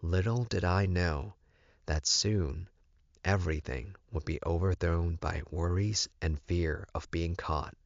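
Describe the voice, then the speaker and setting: Slow deep guy reading an audiobook story, dry sound, kinda muffled. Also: vocal fry, no room sound. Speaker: audiobook narrator. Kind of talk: scripted first-person story narration.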